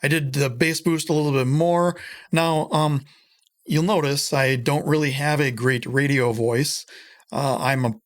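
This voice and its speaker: Chatty man with a higher voice. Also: fairly even intonation, measured pace. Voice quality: higher voice than it used to be. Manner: rambling, self-deprecating, filler-heavy. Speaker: home studio tutorial host. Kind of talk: casual screen-recorded audio tutorial. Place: small home room with guitars.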